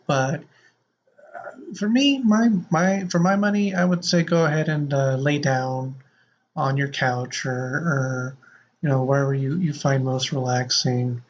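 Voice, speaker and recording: A man hosting a podcast in a casual, playful way, with rambling, halting delivery. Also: mid-range voice, slight background noise. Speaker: podcast host. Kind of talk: casual chat with playful improvised sci-fi bits. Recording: slightly muffled audio with prominent music.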